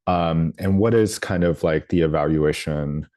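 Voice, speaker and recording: A deep-voiced man from New Orleans presents a training workshop over a video call. His mellow tone is broken by frequent ums and false starts, and the sound is close and dry. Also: unhurried, no echo. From New Orleans, United States. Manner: hesitant, lots of ums and restarts.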